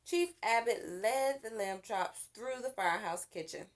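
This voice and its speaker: Animated woman reading a children's story. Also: a little high, a little slow. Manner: expressive, with character voices and asides to listeners. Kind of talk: read-aloud children's storytelling.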